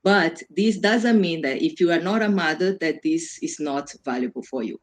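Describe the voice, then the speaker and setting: A woman's voice: low-pitched, normal speed. Brazilian woman presenting an informal workshop. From Brazil, Amazon region. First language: Portuguese. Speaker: workshop presenter, self-development coach.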